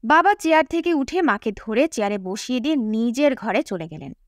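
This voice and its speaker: Casting: female audiobook narrator, high voice, first-person novel reading, very dry close sound. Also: lively intonation, moderate pace, dry recording.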